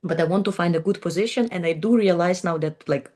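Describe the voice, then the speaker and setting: A woman's voice: fairly low pitch, quick pace, a little mellow. A Ukrainian woman in her twenties whose first language is Russian, speaking fluent but non-native English as an interview guest, with frequent ums and repeated words. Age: 20s. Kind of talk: casual interview talk.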